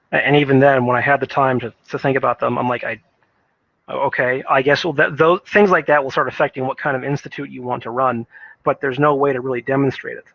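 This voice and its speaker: A man giving an unscripted opinion monologue about video games, thinking aloud in a halting way and repeating words as he goes. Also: medium pitch, gravelly, a bit bright, dry recording, dull audio. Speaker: gaming commentator.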